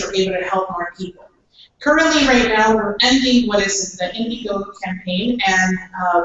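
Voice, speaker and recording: A woman from Ecuador addresses new scholarship recipients in an earnest, unpolished way, often pausing on "um." She stands some way from the microphone, so her voice rings out through a large, very reverberant hall. A faint hum of room noise lies underneath. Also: medium pitch. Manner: earnest, plain-spoken, many "um"s. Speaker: scholarship program staff. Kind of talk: orientation talk to scholarship recipients. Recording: echoey hall, speaker far from mic.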